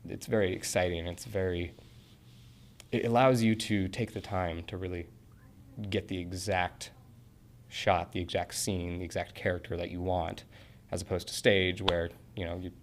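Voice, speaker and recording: Low-voiced young man, earnest and rambling. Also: a little hiss or hum. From Portland, Oregon. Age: 20s. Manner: earnest, rambling with fillers and restarts. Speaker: interviewee, acting student. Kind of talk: casual interview answers.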